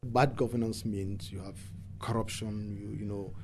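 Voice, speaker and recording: A man from Cameroon with a Cameroonian accent gives earnest, halting interview answers, often repeating words for emphasis. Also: fairly low pitch, fairly even intonation, darkish tone, a little hiss or hum. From English-speaking Cameroon. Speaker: environmental activist and interviewee.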